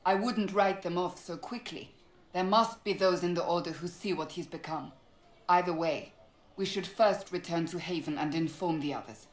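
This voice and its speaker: A woman's voice: on the low side, moderate pace, lightly acted. A woman playing a serious, steadfast warrior of a holy order in a fantasy video game, speaking firmly and earnestly. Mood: concerned. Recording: echoey space.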